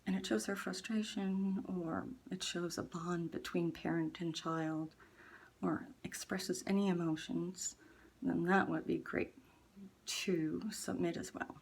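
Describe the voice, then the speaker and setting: Slow, husky woman. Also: fairly low pitch, fairly even intonation. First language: Dutch. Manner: earnest, halting, deliberate. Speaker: artist and book organizer. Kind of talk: personal video appeal to viewers.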